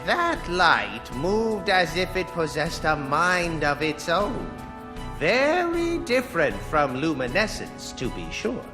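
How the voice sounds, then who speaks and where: A man acting a solemn, formal retainer in a video game, speaking in measured, archaic phrases. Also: on the high side, wildly swooping pitch, over music, heavily acted. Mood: grave, pondering. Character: solemn, formal male retainer explaining lore gravely.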